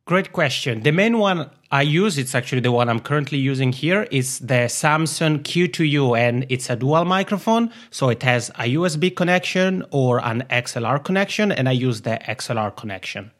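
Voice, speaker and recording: A man's voice: medium pitch, animated, normal speed, hardly any pauses. A man with an Italian accent, a podcast guest chatting casually and laughing often. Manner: friendly, laughs often while talking. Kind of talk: casual interview chat. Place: lives in Finland.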